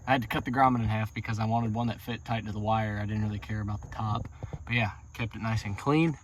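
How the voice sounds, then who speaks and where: A man's voice: on the low side, a bit bright. Casual American man, folksy, faint background noise. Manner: folksy, informal, thinking aloud. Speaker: DIY truck vlog host. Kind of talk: casual hands-on tutorial chat.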